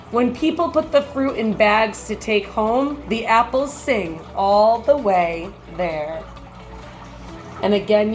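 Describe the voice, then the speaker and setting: A woman reads a storybook aloud in the manner of a teacher. Her pace is slow and measured, with expressive phrasing and a mid-range pitch for a woman. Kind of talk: picture book read-aloud with brief comments.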